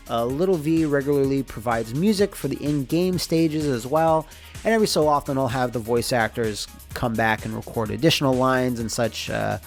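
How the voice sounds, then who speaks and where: Casual man narrating over music. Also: mid-range voice. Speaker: game developer and narrator. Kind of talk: informal scripted narration of a behind-the-scenes explainer. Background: music under the voice.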